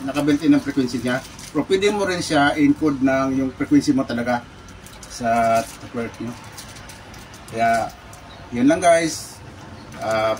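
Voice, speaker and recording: A Filipino man giving a casual, halting unboxing talk to his viewers, often repeating his words. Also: medium pitch. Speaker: vlogger presenting a product unboxing. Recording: distant mic, clearly noisy background with birds.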